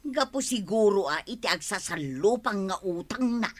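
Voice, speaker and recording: An actress with an Ilocano accent playing a gossipy village woman in a radio comedy drama, chatty and animated. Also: medium pitch, moderate pace, natural voice. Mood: gossiping. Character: gossipy village woman fretting over unpaid money.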